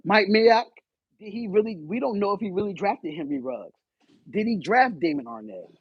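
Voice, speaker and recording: A man hosting a live stream, loud and animated, swearing and arguing back at his chat. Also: sits high, normal speed, slightly rough, dry recording, muffled recording. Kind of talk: casual live stream rant.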